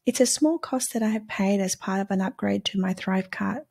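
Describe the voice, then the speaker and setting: A woman hosts her own channel and talks through a product review in a relaxed, chatty way. Her voice is croaky today, with a gravelly fry and a husky edge. She explains things step by step and earnestly, with the odd um and uh. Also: medium pitch, a little fast. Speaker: channel host. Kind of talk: casual product review to camera.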